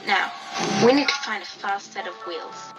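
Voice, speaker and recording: A woman's voice: medium pitch, moderate pace, close to an everyday voice, low-quality recording. A woman voicing an earnest, confident, protective hero dog in a cartoon fandub, with a commanding manner. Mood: determined. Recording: loud music over amateur dub.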